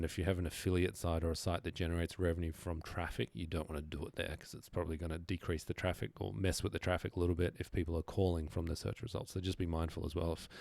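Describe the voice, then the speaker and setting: A man's voice: low-pitched, creaky, a little mellow, dry recording. A man presenting an informal screen-share tutorial, explaining things casually and thinking aloud as he goes.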